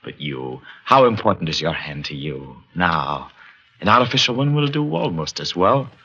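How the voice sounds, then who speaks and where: An actor playing an obsessed, half-mad pianist in a 1940s radio horror drama, with an intense, brooding, theatrical delivery. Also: male voice, sits low, very animated, moderate pace, bright, forceful tone, slightly rough, lo-fi sound, heavily acted. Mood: coldly insistent. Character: obsessed, half-mad pianist who lost his hand. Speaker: lead actor and first-person narrator.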